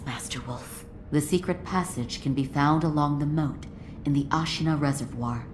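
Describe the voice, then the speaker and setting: A woman playing a solemn, dutiful attendant in a video game cutscene, speaking formally and in hushed, measured phrases. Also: deep voice, noisy background.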